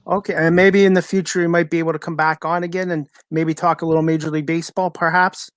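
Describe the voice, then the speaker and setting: Higher-pitched man hosting a podcast. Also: flat delivery, fast, dry recording, studio quality. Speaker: podcast host. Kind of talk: casual live podcast hosting.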